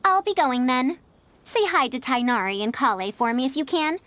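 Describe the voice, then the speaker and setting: An actress playing a self-assured, scholarly teacher in a video game, speaking in a precise, instructive way. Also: female voice, sits high, very animated, moderate pace, a bit bright, no room sound. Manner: instructive, precise, formal wording. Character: scholarly senior female teacher, self-assured, encouraging mentor. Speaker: game character, mentor. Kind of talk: scripted video game dialogue.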